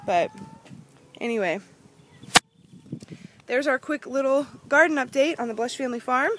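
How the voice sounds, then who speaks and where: A woman's voice: sits high, normal speed. A young woman giving a chatty, upbeat walking tour of her backyard garden, recorded on a handheld phone outdoors. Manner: upbeat, chatty, pointing things out. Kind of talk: casual walking tour narration. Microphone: phone camera held in hand. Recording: outdoor phone audio with background noise, hand over mic at times.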